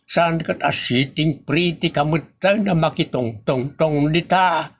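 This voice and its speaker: A man's voice: on the high side, slow, husky, exaggerated voice. A man with an Ilocano accent playing a bossy boss who scolds workers in a comedy audio drama. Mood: scolding. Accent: Ilocano, northern Philippines. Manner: scolding, emphatic. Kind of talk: comedy radio drama dialogue.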